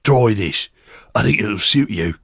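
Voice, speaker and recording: A man voicing a big, grinning male mercenary with a gruff, teasing manner. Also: fairly low pitch, lively intonation, a little slow, fairly bright tone, no echo, studio quality, full character voice. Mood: mocking.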